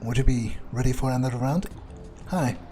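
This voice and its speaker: Guy playing a callous vampire in a game playthrough, muttering his thoughts, middling pitch, faint music behind. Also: moderate pace, dark tone, lightly acted. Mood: musing, cynical. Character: cynical, callous, self-serving male vampire. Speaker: gamer roleplaying his character. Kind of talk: in-character game playthrough, thinking aloud.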